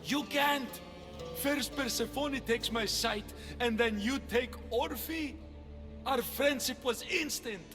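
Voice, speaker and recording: An actor playing a gentle, bitter blinded man in a video game, plaintive and emotional. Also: very high-pitched, takes it slowly, over music. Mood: pleading, distressed. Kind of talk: video game cutscene acting. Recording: long reverberant echo.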